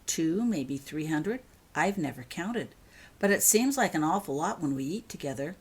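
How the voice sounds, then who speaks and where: Storybook schoolgirl, earnest and open, slightly husky played by a female narrator. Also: low voice, animated, normal speed. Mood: thoughtful. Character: earnest schoolgirl home for the holidays, attached to her cat.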